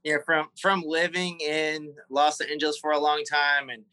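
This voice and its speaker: A man with a Southern accent from South Carolina, telling his life story as an interview guest, often pausing and repeating words. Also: on the high side, flat delivery, pushed and bright, clean tone, dry recording. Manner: halting, repeats words while recalling memories. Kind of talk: casual interview conversation.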